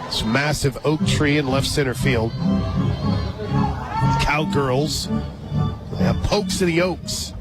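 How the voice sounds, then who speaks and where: Male American radio sports announcer, fairly high voice, conversational play-by-play, loud ballpark music underneath. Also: slow, long pauses. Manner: conversational, chatty between plays. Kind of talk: live softball radio commentary. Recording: loud crowd and music behind outdoor broadcast. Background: ballpark crowd and loud music.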